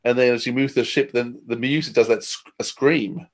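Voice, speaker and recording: A man's voice: mid-range voice, fairly even intonation, normal speed. A man hosting a podcast, asking questions and reacting in a casual, conversational way. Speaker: podcast host, interviewer. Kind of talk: casual interview chat.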